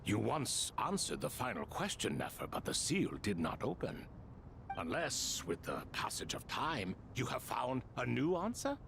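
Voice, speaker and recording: A courteous, ancient spirit lord from a video game. His medium-pitched voice is creaky and swings widely as he reasons. Faint noise sits behind him. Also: big pitch swings, unhurried, a bit bright, exaggerated voice. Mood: placating. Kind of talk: scripted video game voice acting.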